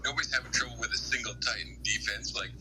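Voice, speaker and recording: A man with a deep voice chatting casually and emphatically on a live gaming stream, full of opinions. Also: steady tone, fast, pushed and bright. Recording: clearly noisy live stream audio.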